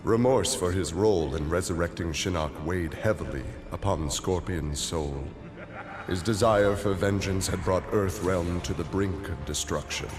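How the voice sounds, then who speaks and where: Deep-voiced male game narrator in long reverb. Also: measured pace. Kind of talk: scripted dramatic storytelling narration for a video game. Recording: long reverb, music behind the voice. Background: music.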